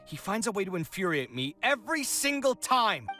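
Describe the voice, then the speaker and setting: Game role: exasperated, self-pitying young architect, theatrical and wordy, swinging pitch, music underneath. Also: male voice, very animated, normal speed, sharp tone, full character voice. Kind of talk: video game voice acting. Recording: game audio with music behind.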